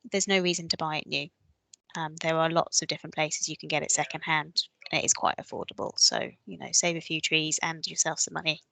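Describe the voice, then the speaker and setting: A woman's voice: deep voice, creaky, a bit bright, no echo, poor audio. A woman hosting an online course, answering audience questions in a chatty, practical way. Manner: chatty, practical, lots of fillers. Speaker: webinar host.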